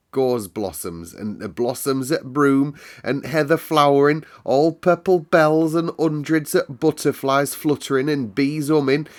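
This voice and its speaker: A man's voice: on the high side, voice pushed up, natural voice. A man voicing a cheerful, chatty young Yorkshire housemaid in broad dialect for a children's storybook. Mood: enthusiastic. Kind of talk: children's storybook read-aloud.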